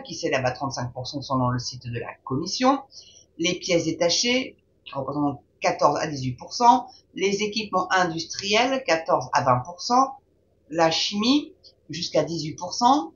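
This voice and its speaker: A woman's voice: lowish voice, a bit bright. A woman hosting a video, reading a news article aloud and breaking off with mocking, informal asides and frequent hesitations. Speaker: video host. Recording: dull lo-fi with faint noise.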